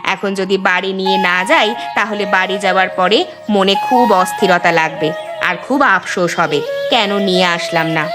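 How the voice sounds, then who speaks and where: A woman voicing a sharp-tongued, suspicious, demanding customer who haggles, in cartoon dialogue. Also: medium pitch, moderate pace, close to an everyday voice. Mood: grudgingly pleased. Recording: background music under voice.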